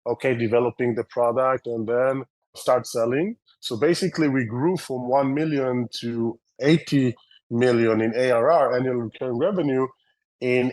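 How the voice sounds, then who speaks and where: A man with an Israeli accent speaks at an ordinary middle pitch, a founder talking through his story in an interview. His answers ramble and restart, full of fillers and self-corrections. The sound is dry and close, with no room echo. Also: darkish tone. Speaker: company founder and CEO.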